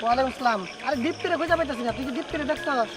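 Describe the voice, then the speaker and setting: Quarrelsome rural dad, played by a man, Bangladeshi accent, raised pitch, breathless-fast accusing delivery. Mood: worried. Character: angry, blaming village father and husband. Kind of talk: Bengali web comedy drama acting. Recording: music under the voice.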